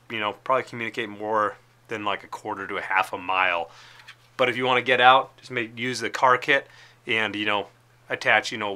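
Bright-voiced American man presenting a product. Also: medium pitch. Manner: informal, explanatory. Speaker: product presenter for a radio retailer. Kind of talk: casual product demonstration. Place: indoor studio with plain backdrop.

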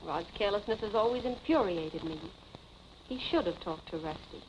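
An American actress playing a poised, firm young wife and older sister in a 1940s film drama. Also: female voice, mid-range voice, animated, moderate pace, close to an everyday voice. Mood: composed. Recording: dull, noisy 1940s film soundtrack.

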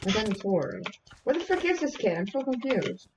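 Woman, gaming streamer, excitable, yelps and shouts, mild minced oaths, fairly high voice, noisy home mic with keyboard clicks. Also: moderate pace. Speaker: streamer playing with friends. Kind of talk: casual gaming chat.

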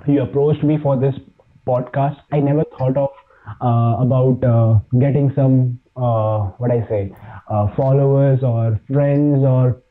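A man's voice: mid-range voice, steady tone. Indian man on a tinny line. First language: Hindi. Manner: halting, many fillers and restarts. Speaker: podcast guest, fitness YouTuber. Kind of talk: casual chat.

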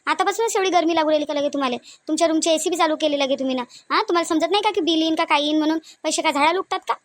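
A domineering cartoon mother-in-law played by a woman rattles off her complaints at high pitch in a Varhadi Marathi accent. She is thrifty and short-tempered, nagging with question after question in a dry, close recording. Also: racing, dry recording, close to an everyday voice. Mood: scolding, sarcastic. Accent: Varhadi Marathi (Vidarbha). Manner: nagging, repetitive rhetorical questions. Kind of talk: comedic cartoon dialogue.

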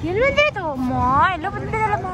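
Woman, very high lilting voice, baby talk to a pet, outdoor mall, loud crowd. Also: sing-song, racing. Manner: high sing-song baby talk to a dog. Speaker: vlogger with her dog. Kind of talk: casual vlog chat. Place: outdoor mall plaza at night. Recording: busy crowd and street noise.

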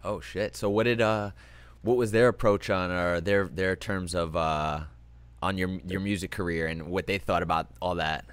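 A young man with a very deep voice hosts a relaxed interview into a desk microphone. He is easygoing and swears casually as he talks. His questions come out loose and conversational. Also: normal speed, smooth, dry recording. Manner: laid-back, swears casually. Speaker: host. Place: indoor streaming room with gaming chair. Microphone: desk microphone on arm.

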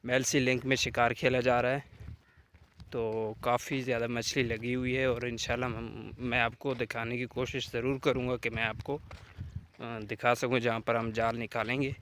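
A man's voice: medium pitch, level tone, a bit bright. Pakistani man vlogging outdoors over noise. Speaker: fishing vlogger. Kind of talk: casual outdoor vlog narration. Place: outdoors on an open canal bank. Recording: noisy outdoor phone recording.

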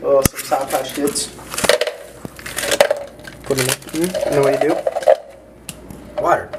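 A man's voice: mid-range voice, fairly even intonation, unhurried, heavy background noise. A young man filming a casual prank vlog on a handheld phone, joking and rambling with restarts. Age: young adult. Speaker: vlogger. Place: furniture store, then gym.

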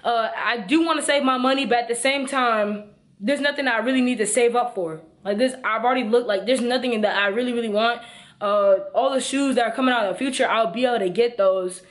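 A man's voice: very high voice, brisk, a bit bright. A teenage boy with an American accent, chatting casually and slangily to the camera as a vlogger. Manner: slangy, informal. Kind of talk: casual vlog chat to camera. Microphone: camera at arm's length.

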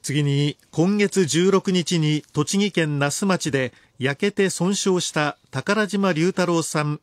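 A man reading scripted news in a formal, measured way. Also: a little high, dry recording. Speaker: newsreader.

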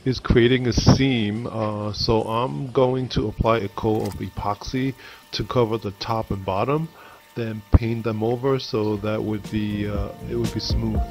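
A man giving casual, halting how-to narration as the host of a hobby craft video. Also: lowish voice, fairly even intonation, loud music behind the voice.

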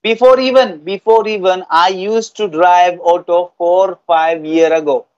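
A man's voice: high-pitched, steady tone, measured pace, smooth, dry recording, dull audio. A young Indian-accented man, an English trainer in conversational speaking practice, who repeats phrases for emphasis on a video call. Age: young adult.

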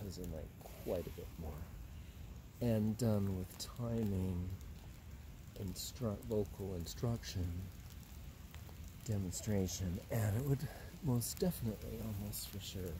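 A man in his fifties talking slowly and musingly while doing yoga in a forest, slightly out of breath, recorded on a phone camera set on the ground. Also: lowish voice, background noise. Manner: slow, musing, drawn-out phrases with sighs and soft laughs. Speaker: yoga instructor. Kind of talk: meandering vlog talk while doing yoga. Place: outdoors in a forest.

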